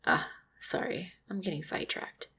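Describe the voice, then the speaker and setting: A woman playing a gentle, devoted orc hunter in a roleplay audio drama, speaking tenderly and reassuringly with a husky, creaky voice. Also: fairly low pitch, normal speed, in a whisper, full character voice. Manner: tender, reassuring, softly spoken. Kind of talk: roleplay audio drama, intimate monologue to the listener.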